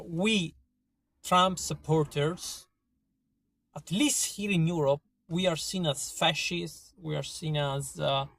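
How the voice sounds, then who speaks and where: Italian accented guy vlogging, kinda high voice, keeps stalling with uh and restarting, dead dry sound. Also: dry recording. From Italy. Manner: halting, many fillers and restarts. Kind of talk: casual opinion monologue to camera.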